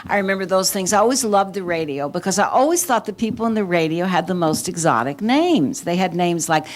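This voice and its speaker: A woman's voice: fairly low pitch, expressive, brisk. An older woman, a former radio actress, casually reminiscing to an audience.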